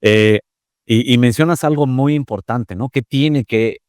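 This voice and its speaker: A man, a guest explaining telecom topics in a measured way, with many fillers and tag questions. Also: medium pitch, a little mellow, dry recording, studio quality. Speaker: interview guest, telecom expert. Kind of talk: conversational interview.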